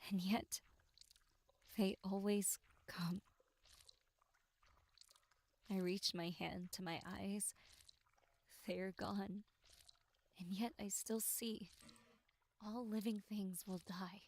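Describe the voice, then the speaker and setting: A woman voicing a tormented, despairing victim in a horror game monologue, with breathy delivery that slips into whispers. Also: mid-range voice, steady tone, slow pace, pauses often, a little mellow. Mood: bleak resignation. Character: tormented, despairing young victim musing bleakly on existence. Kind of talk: dramatic first-person horror game monologue.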